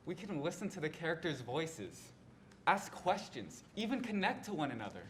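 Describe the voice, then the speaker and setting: A man's voice: on the high side, sing-song, moderate pace, fairly bright tone. A young man, a student narrator reading a scripted readers theatre introduction. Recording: faint background noise.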